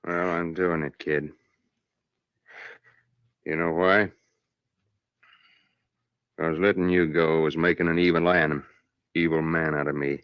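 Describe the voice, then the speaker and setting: A man's voice: extremely low pitch, clean tone, no room sound. An actor playing a stern, weary Old West lawman in terse, plain-spoken old-time radio western style. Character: stern, resolute Old West lawman named George, weary and conscience-stricken. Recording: muffled, dull old radio recording.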